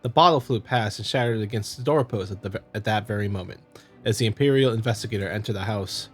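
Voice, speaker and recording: A man's voice: lowish voice, fast, faint music behind. A man doing a casual visual novel playthrough, commenting and reading narration aloud. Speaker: let's player.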